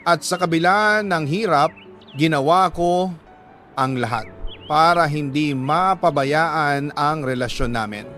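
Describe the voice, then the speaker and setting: A man with a Filipino accent narrating a first-person story in an earnest, emotive way, with deliberate pauses between short phrases. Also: on the high side, fairly bright tone, soft background music. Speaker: radio drama narrator reading a letter sender's story.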